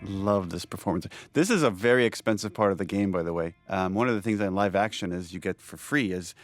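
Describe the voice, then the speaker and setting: Guy with a lowish voice talking through creative choices, thoughtful and explanatory, unscripted. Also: brisk, faint music behind. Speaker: game director giving commentary. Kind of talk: unscripted behind-the-scenes commentary.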